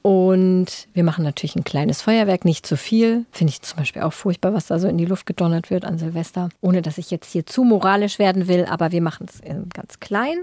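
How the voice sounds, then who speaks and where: A woman's voice: lowish voice, fairly quick, hardly any pauses, warm tone, no echo, studio quality. A woman chatting casually as a podcast co-host. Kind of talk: casual chat. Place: podcast studio.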